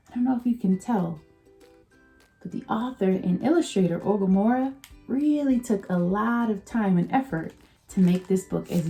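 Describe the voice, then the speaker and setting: A woman's voice: fairly low pitch, vocal fry, mellow. A woman teacher giving a children's read-aloud lesson, speaking directly to young listeners in an encouraging way.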